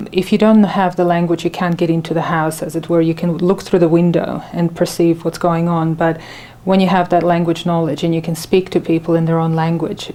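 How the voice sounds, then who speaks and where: A middle-aged woman whose first language is Ukrainian answers interview questions in a low, mellow voice. Her pitch stays fairly flat as she thinks aloud, with many fillers and restarts. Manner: thoughtful, hesitant with fillers and restarts. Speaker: radio station manager.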